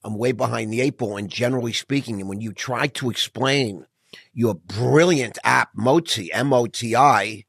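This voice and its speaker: A middle-aged man hosting an interview podcast, chatting casually in an animated, edgy, restless way. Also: medium pitch, moderate pace, creaky, slightly rough, no room sound. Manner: animated, self-described anxious and edgy talker. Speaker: podcast host.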